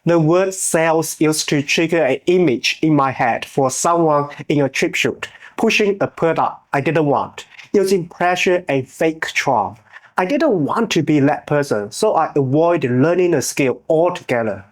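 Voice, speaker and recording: A man's voice: fairly high pitch, expressive. Man with a Chinese accent, motivational narration. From China. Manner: earnest, measured delivery with small grammar slips. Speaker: channel host.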